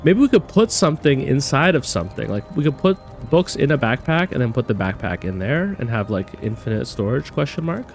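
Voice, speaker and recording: A man's voice: mid-range voice, sing-song, a little fast, few pauses, soft background music. A man giving casual game commentary, thinking aloud and speculating as he plays. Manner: musing, speculative. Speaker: gamer doing a playthrough.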